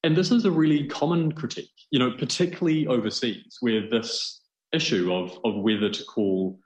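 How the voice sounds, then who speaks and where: A man's voice: medium pitch, moderate pace, a little mellow. A man with a New Zealand accent explaining things conversationally as a journalist being interviewed, with an enthusiastic manner. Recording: roomy, echoing sound.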